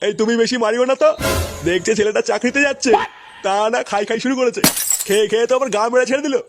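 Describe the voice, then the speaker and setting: A man voicing a nagging high-voiced cartoon father. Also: fast, over music. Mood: impatient. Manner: nagging, crude. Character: gruffly scolding, foul-mouthed, impatient cartoon father. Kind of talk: crude comic cartoon dialogue.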